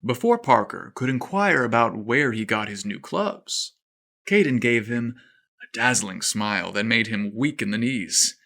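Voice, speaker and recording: A man reading a scripted story aloud with expressive, playful and dramatic delivery. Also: medium pitch, a little slow, gravelly. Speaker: storyteller podcast narrator.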